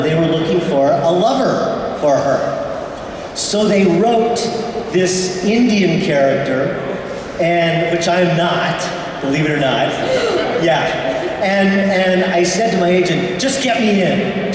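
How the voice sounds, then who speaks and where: A man on a convention panel telling an animated anecdote and acting out the voices in it. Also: a little high. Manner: animated, acts out voices in his stories. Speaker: actor on a panel. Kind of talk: anecdotal storytelling. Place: large convention hall stage, indoors. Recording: echoey hall from far off, background noise.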